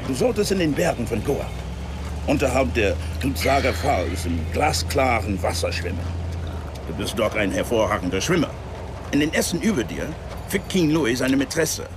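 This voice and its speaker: An actor playing a cynical, worldly embassy man in a radio drama, lecturing in a measured, patronising way with veiled menace. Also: close to an everyday voice. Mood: patronising concern. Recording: noisy ambience with vehicle rumble.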